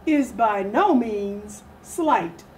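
A woman's voice: medium pitch, lively intonation, very slow, darkish tone, slight background noise. An older woman giving a solemn, declamatory dramatic reading of an old speech. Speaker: readers theatre performer. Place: furnished sitting room, indoors.

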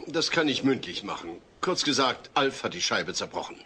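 Exasperated dad with animated pitch. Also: male voice, normal speed, a little hiss or hum. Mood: dry, annoyed. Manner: stern, long-suffering, precise. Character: exasperated, fussy suburban father acting as prosecutor. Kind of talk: German dubbed comedy audio drama.

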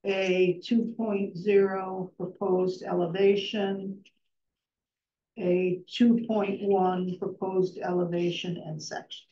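A middle-aged woman chairs a zoning board meeting, reading a formal motion in a measured, careful way. Her voice is mellow and rather dark. It is heard from a distance in an echoey room, on dull, muffled meeting audio. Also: medium pitch, little pitch movement, a little hiss or hum. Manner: measured, reads legal text slowly and carefully. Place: town hall meeting room.

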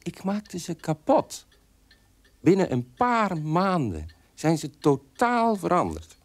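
Bitter, defiant son, animated radio monologue. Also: male voice, on the high side, takes it slowly, full character voice. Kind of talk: Dutch radio drama monologue.

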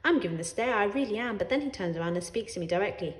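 A woman reading a children's story aloud in an expressive way, with dramatic emphasis on words. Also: medium pitch, racing, reverberant.

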